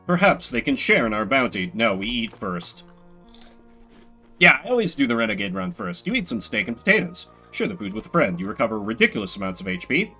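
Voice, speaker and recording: Theatrical, bright-voiced man streaming a game. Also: medium pitch, very animated, fairly quick, husky, soft background music. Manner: theatrical, sardonic, rambling asides. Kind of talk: solo-voiced let's play commentary, reading game text aloud dramatically.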